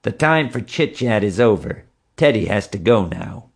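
A man's voice: lowish voice, expressive, normal speed, vocal fry, slightly rough, pitched above the performer's own voice, exaggerated voice. A man voicing a stern, dismissive middle-aged woman in an audiobook. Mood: curt.

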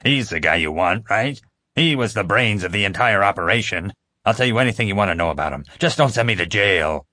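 Audiobook prisoner, played by a male narrator: cowardly crook, gravelly, desperate, dry close sound. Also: animated, normal speed, fairly bright tone, no echo. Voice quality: deep, gravelly. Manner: wailing, desperate pleading. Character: James, blubbering male criminal, cowardly, pleading, boastful.

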